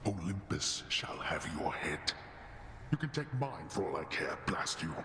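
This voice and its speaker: Deep, gruff, defiant god. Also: male voice, very animated, unhurried. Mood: angry defiance. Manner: gruff, indignant, commanding. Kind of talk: dramatic video game acting. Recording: echoey hall, faint noise.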